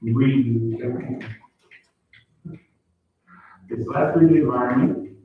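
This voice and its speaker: Halting councilman, French his first language. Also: medium pitch. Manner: halting, searches for words, repeats himself. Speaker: town councilor. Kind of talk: council meeting report. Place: council chamber. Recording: echoey chamber, distant phone-like mic.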